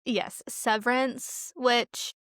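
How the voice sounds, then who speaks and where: High-voiced young woman, gushing podcast chat. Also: steady tone, extremely slow, fairly bright tone, no echo, clean studio sound. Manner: gushing, slangy, dramatic emphasis. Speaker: podcast co-host. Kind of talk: casual chat.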